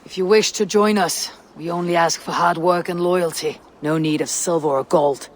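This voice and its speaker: Composed warrior woman played by an actress for a game, measured authority, faint noise behind. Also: fairly low pitch, fairly even intonation, lightly acted. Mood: formal. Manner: measured, commanding, dry. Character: firm, composed Viking warrior woman, clan leader.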